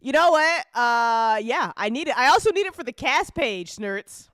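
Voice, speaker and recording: A woman's voice: sits high, animated, moderate pace, a bit bright, dry recording, studio quality. A woman hosting a live after show in casual, joking chat, playfully repeating phrases for emphasis.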